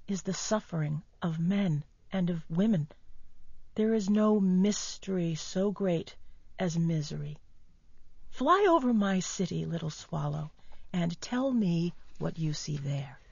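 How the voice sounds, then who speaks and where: Woman acting sorrowful royal male statue, husky, unhurried, gentle and solemn, storybook drama, close dry sound. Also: fairly low pitch, lively intonation, no room sound, dull audio, exaggerated voice. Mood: solemn, melancholy. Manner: slow, solemn, pleading.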